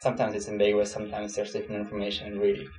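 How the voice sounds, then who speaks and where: A young man from Switzerland, a student answering interview questions in a relaxed, casual way with plenty of fillers. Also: lowish voice, racing. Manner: relaxed, many fillers, laughs easily.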